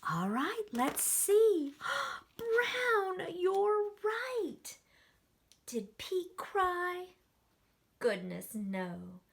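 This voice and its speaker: A woman teacher reading a picture book aloud to children, animated, with gasps and questions to the kids. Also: very high-pitched, extremely slow, vocal fry. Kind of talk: children's story read-aloud.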